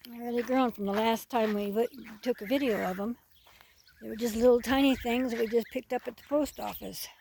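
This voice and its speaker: A woman's voice: a little high, fairly even intonation, brisk, creaky, darkish tone. A woman giving a casual, rambling outdoor vlog commentary on a farm, folksy and often repeating her phrases. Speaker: farm owner filming own geese and ducks. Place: outdoors on a rural farm, under a tree. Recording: outdoor noise with clicks and birds. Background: geese and bird calls, outdoor noise.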